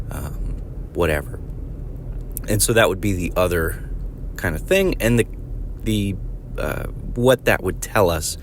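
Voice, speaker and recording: A man hosting a solo podcast, a little sick so his voice is slightly off, explaining in a halting way with many pauses and "right?" tags. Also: deep voice, noisy background. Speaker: podcast host.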